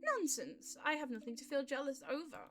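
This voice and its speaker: A woman's voice: sits high, very animated, a little fast, faint music behind. A woman voicing a suave, possessive man from a visual novel, with a haughty, theatrical, formal delivery. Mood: indignant. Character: suave, possessive man showing off his boyfriend. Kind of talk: visual novel read aloud.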